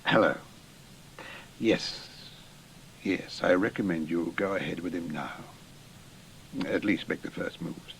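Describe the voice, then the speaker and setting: An actor playing a worldly, sceptical, calculating English establishment man in a radio drama, measured, dry and authoritative. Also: low voice, creaky, fairly bright tone, a little hiss or hum, exaggerated voice. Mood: discreet, businesslike.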